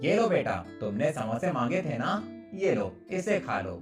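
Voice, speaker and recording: A man's voice: mid-range voice, sing-song, a little slow. Man acting a plain-spoken village father, echoey. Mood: fatherly. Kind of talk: Hindi cartoon moral story acting. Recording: roomy, distant, faint music underneath.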